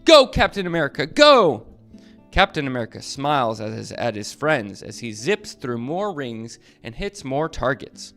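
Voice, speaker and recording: A man reading a superhero picture book aloud to children, animated and making sound effects. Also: mid-range voice, normal speed, hardly any pauses, faint music behind. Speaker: storyteller reading to kids.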